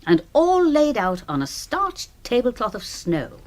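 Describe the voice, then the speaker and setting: An actress playing a wry British spinster crime writer in a radio drama, dry, ironic and articulate. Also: female voice, a little high, very animated, unhurried. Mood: wry, playful. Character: wry spinster sister, doctor and crime novelist, self-mocking.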